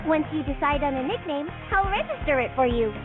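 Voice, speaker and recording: A woman's voice: high-pitched, sing-song, brisk. An actress playing a cheerful young female new hire in a video game, politely explaining things in a perky way. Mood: perky, helpful. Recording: phone-like thin sound, music behind.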